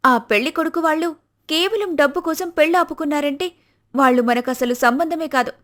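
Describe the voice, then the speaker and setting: A woman voicing a principled, firm young married daughter in an animated moral story, speaking in an earnest, lecturing manner. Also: high voice.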